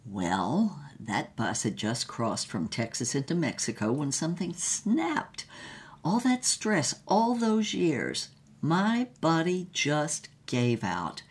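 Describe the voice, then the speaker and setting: A woman's voice: low voice, big pitch swings, slow pace, exaggerated voice. An actress playing a woman adventurer who recounts her past in a theatrical, emphatic audio drama manner. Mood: wry recollection.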